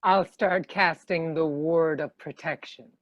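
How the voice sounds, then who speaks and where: A woman acts a self-assured twenty-five-year-old shop worker in a comedy read. Her voice sits slightly low, recorded close without room sound, and she speaks with dry, matter-of-fact confidence. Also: fairly even intonation, measured pace, no echo, lo-fi sound. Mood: confident, dry. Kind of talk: comedy script table read.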